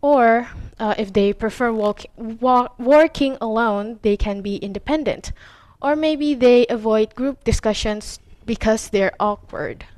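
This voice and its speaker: Halting Indonesian girl presenting slowly. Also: on the high side, darkish tone, no room sound, poor audio. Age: teens. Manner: halting, with frequent fillers and self-corrections; clears throat often. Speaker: student presenter. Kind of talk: class presentation.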